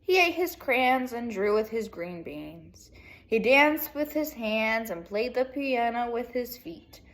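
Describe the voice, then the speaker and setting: A woman teacher reading a children's picture book aloud in an expressive way, with casual asides to young pupils. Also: a little high, slow. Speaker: second grade teacher.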